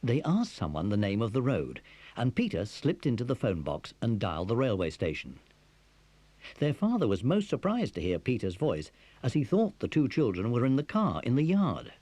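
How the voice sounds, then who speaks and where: A man's voice: lowish voice, warm tone, no echo. A man narrating a scripted children's adventure story as its storyteller.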